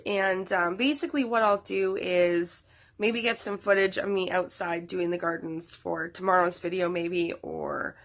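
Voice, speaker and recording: A woman's voice: medium pitch, a bit bright. Sick, nasal woman vlogging. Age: 30s. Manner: rambling, with many fillers and restarts. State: sick, losing her voice. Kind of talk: casual chat to viewers.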